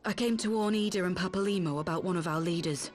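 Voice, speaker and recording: A woman's voice: fairly low pitch, fast, soft background music, lightly acted. A woman playing a brave, wounded cat-woman resistance fighter in a video game, earnest and grave. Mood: weary, earnest. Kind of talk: video game cutscene acting.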